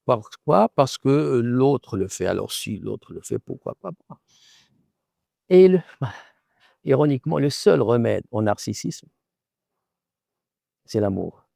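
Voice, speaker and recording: A middle-aged Moroccan-born man whose first language is Arabic speaks in a deeply gravelly voice. He answers an interviewer thoughtfully, circling and repeating words as he works out his point. The recording is dry, with no room sound. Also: big pitch swings, slow, long pauses, dark tone, dry recording. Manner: thoughtful, repeats words while searching for phrasing. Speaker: author and professor.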